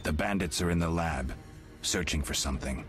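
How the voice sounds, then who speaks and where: Stoic monster hunter, very low pitch, terse, unhurried, gruff, fantasy video game. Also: male voice, fairly bright tone, soft background music. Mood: serious, terse. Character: stoic gruff adult male monster-hunting swordsman.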